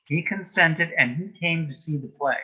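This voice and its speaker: A man answering as an interview guest in a matter-of-fact, measured way, telling small anecdotes in conversation. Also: on the high side, husky. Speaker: interview guest, author and playwright. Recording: dull, band-limited sound.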